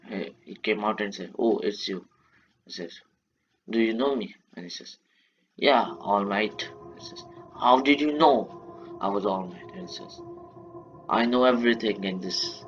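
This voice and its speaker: A young man from India with an Indian accent and Marathi as his first language, retelling a fan story in halting speech full of restarts and corrections. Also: fairly low pitch, poor audio. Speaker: narrator.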